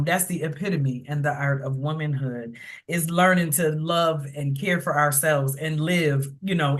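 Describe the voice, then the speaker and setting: A woman with a low-pitched voice hosts a live chat. She speaks casually and colloquially, in an affirming manner. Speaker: host of a live book launch.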